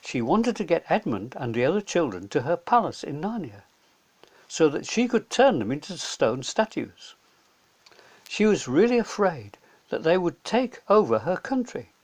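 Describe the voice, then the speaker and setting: A man's voice: a little high, sing-song, moderate pace, a little mellow. A man reading a children's story aloud as scripted narration. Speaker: storyteller, reader.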